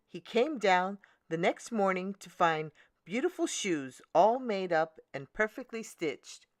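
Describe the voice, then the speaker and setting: A woman reads a children's fairy tale aloud at a slow, deliberate pace. Her mid-range voice rises and falls animatedly as she narrates. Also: mid-range voice, no room sound, studio quality. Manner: deliberate, expressive. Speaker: storyteller, children's read-aloud channel host. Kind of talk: scripted storybook narration for children.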